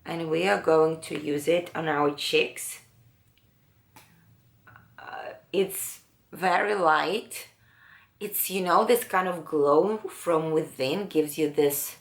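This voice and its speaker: A woman with a low, raspy voice chatting slowly through a makeup tutorial, pausing often. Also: roomy sound. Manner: unhurried, musing, with many pauses and fillers. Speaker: beauty vlogger. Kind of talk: casual makeup tutorial chat.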